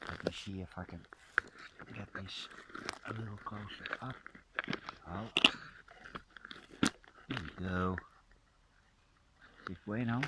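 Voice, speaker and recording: Slow, low-voiced Dutch man outdoors. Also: very noisy. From Netherlands. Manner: soft-spoken, drops to a hushed near-whisper up close. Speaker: nature vlogger. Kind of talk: casual narration while foraging. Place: forest, outdoors.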